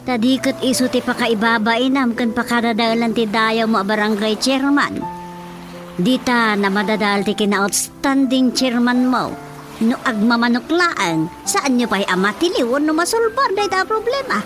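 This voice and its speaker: A woman's voice: on the high side, moderate pace, natural voice. A woman with a Filipino accent playing a nagging, gossipy chairman's wife in a radio drama, pressing and scolding. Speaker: actor. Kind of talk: Ilocano radio drama comedy acting. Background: music.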